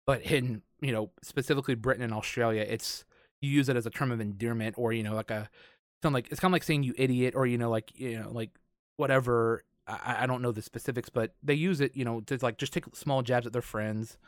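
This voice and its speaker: A man's voice: medium pitch, quick pace, no room sound, studio quality. A man hosting a podcast, chatting casually in an informal, rambling way. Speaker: podcast host.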